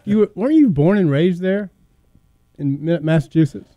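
Dry-voiced teasing radio host. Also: male voice, a little high, expressive, dry recording, lo-fi sound. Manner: teasing, quick questions, stammering restarts. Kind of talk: casual talk radio banter.

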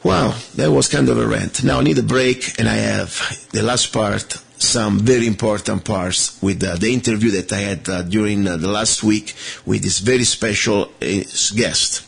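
A middle-aged man from Italy with an Italian accent, hosting an opinionated talk radio show in earnest, halting speech full of fillers and restarts. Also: on the low side, creaky, slightly rough.

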